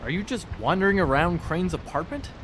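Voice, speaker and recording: An actor playing a slippery small-time thief in a video game, talking in glib, ingratiating, hedging patter. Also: male voice, high voice, animated, brisk, a bit bright, a little hiss or hum. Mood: defensive.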